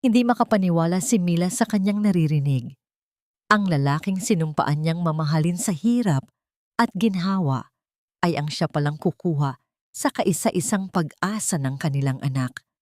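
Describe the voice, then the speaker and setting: A woman's voice: low voice, normal speed, a little mellow, dry recording, clean studio sound. A woman narrating a scripted drama story in a solemn, melodramatic manner.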